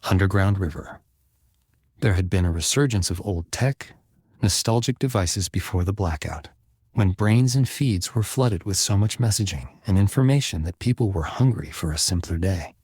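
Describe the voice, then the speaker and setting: A man narrating scripted fiction like an audiobook, in a measured, literary storytelling manner. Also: sits low, darkish tone, slightly rough, no echo, clean studio sound. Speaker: author narrating own serialized fiction podcast.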